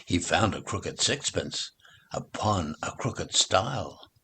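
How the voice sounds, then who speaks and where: A man reading nursery rhymes aloud in an expressive, deliberate, sing-song way, as a recital for children. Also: low-pitched, vocal fry, a little hiss or hum.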